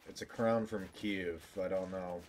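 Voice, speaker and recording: Low-voiced, unhurried man gaming. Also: steady tone. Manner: joking, sweary, muttering to himself. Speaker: video game streamer. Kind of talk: casual gameplay commentary. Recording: clear background game noise.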